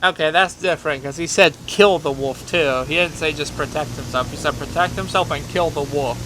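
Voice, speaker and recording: A man's voice: on the high side, brisk, noisy background. A man hosting a gaming video, giving chatty, exclamatory reactions to a horror game.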